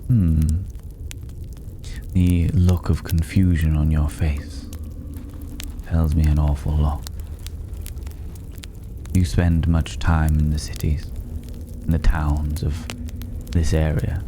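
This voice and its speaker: A man playing a regal, teasing drifter with a secret in an intimate ASMR roleplay, speaking with wry condescension and drawn-out pauses. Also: extremely low pitch. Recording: clearly audible background noise.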